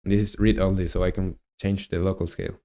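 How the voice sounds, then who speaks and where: A man in his twenties with a Chilean Spanish accent, talking through his coding on a live stream, halting and thinking aloud with many fillers. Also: low voice, flat delivery, mellow. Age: 20s. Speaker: game developer streamer.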